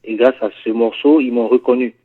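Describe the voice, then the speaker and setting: A man's voice: medium pitch, brisk, narrow, tinny audio. Ivorian man in a radio interview. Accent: Ivorian French. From Ivory Coast. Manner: relaxed, peppers talk with "yes, sir". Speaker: guest singer. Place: web radio studio.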